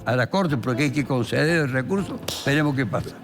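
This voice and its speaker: An Argentine man, a criminal lawyer and columnist, telling a court case in a deliberate, theatrical way with emphatic pauses. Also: medium pitch, lively intonation. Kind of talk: dramatic storytelling of a court case. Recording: long reverberant hall sound, faint music behind.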